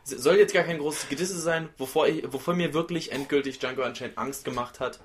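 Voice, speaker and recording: A man with a fairly high-pitched voice talks through films on a podcast. He is animated and opinionated, rambling from one thought to the next in a casual, conversational way. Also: a little fast. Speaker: podcast host. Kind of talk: casual film discussion.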